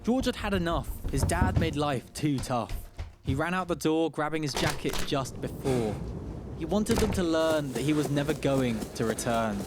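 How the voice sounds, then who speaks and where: High-pitched male storybook narrator over music. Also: expressive, normal speed. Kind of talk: rhyming storybook radio narration.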